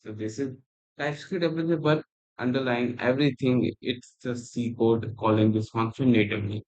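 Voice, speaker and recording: A man teaching a coding tutorial while screen recording, talking in a halting, rambling way with non-native phrasing, recorded on a laptop's built-in mic. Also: medium pitch, fairly even intonation, a little mellow, highs cut off. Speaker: programming tutor. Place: at a computer, indoors.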